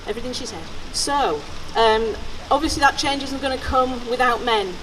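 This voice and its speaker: Over clear background noise and at some distance, an older woman chats to a gathering as its organiser. Her fairly high voice halts and repeats words. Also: normal speed, a bit bright. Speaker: event organiser introducing speakers. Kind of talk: informal announcing at a rally. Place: outdoors at a public gathering. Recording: noisy outdoor recording, distant microphone.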